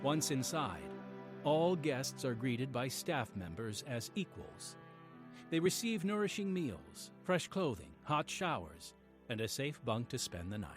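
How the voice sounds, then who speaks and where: A man narrating a scripted radio drama as its host, earnest and measured. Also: mid-range voice, sing-song. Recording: faint music behind the voice.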